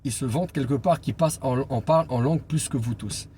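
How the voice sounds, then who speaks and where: A man preaching an informal Bible teaching as an evangelist, speaking deliberately and pausing on key phrases for emphasis. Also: medium pitch, warm tone. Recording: clear background noise and ambience.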